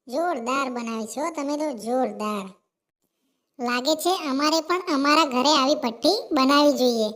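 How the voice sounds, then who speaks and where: A very high, childlike cartoon sparrow, acted by a woman. She is cheerful and eager, gushing in repeated exclamations as she chatters through an animated moral tale. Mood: admiring. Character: cheerful, eager, chatty female sparrow visiting her sister. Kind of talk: Gujarati animated moral story dialogue.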